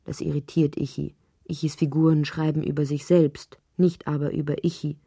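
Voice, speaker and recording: A woman playing a whimsical narrator in a literary radio play, with a husky voice. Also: low-pitched, flat delivery, moderate pace, mellow, dry recording, studio quality, full character voice. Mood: musing, measured.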